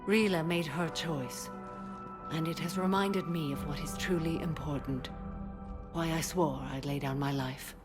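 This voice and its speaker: A dutiful warrior mother from a video game, bound by a strict code. Her low voice is grave and measured, with formal phrasing. Music plays clearly behind her. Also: steady tone. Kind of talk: dramatic video game acting.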